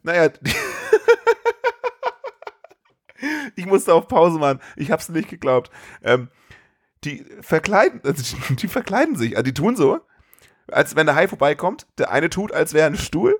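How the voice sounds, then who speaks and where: A man co-hosting a podcast, chatting casually about films in an animated, incredulous way full of colloquial exclamations. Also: on the high side, unhurried, pauses often. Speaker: podcast co-host. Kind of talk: casual film review chat.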